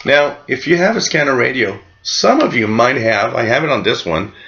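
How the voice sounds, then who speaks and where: A man's voice: mid-range voice, expressive, normal speed, creaky, bright, forceful tone, highs cut off. A middle-aged man from Canada casually explaining things as host of a hobby radio channel, recorded in a small room. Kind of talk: casual explanatory vlog talk.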